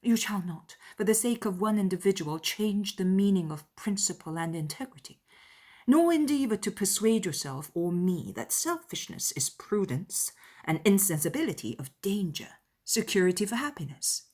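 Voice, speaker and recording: A woman voicing a spirited, opinionated young woman in a dramatized period novel, arguing emphatically with deliberate stresses. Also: mid-range voice, animated, darkish tone, lightly acted. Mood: indignant.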